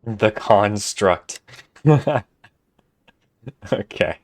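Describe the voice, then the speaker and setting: A man's voice: lowish voice, lively intonation, extremely slow, dry recording. A man casually commenting and reacting while he plays a video game. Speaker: gaming video host. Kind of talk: casual reactions over gameplay.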